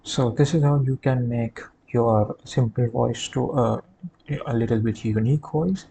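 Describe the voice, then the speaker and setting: A man giving a halting, hesitant step-by-step software tutorial over a screen recording. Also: mid-range voice. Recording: clear background noise.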